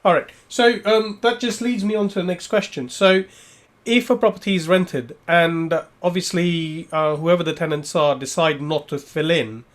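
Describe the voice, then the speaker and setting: A man moderating a webinar, putting measured questions to the speaker with frequent ums and uhs. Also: fairly high pitch.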